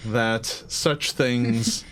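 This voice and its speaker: A man voicing a stern, worried woman in a tabletop game, speaking formally and gravely. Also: mid-range voice, steady tone, fairly bright tone, slight background noise. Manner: formal, grave, measured wording. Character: stern, worried woman entrusted with a dangerous deck.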